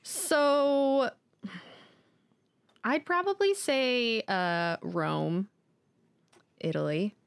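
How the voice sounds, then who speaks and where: A woman in her twenties co-hosting a podcast, talking in a relaxed, chatty way with many fillers. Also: fairly high pitch, very slow, creaky, pauses often, smooth, no echo. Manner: easygoing, chatty, with many likes and ums. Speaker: podcast co-host. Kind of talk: casual chat.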